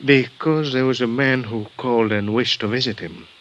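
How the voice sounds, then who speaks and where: An actor playing a grave, formal Mexican hospital doctor, speaking in a measured, halting way in a 1950s radio drama. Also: male voice, medium pitch, fairly even intonation, lo-fi sound, lightly acted. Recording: old radio broadcast with faint hiss.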